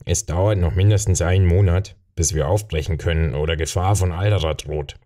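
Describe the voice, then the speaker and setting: A man's voice: low voice, steady tone, brisk, dark tone, lightly acted. A man voicing a proud, carefree, weathered young mountain guide in a fantasy audiobook. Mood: proud, unworried. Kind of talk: audiobook character voice.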